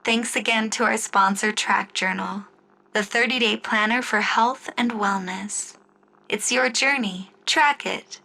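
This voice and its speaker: A woman narrating and announcing in the style of a 1940s radio drama, with measured storytelling. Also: mid-range voice, vocal fry, pushed and bright, rough recording. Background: music score.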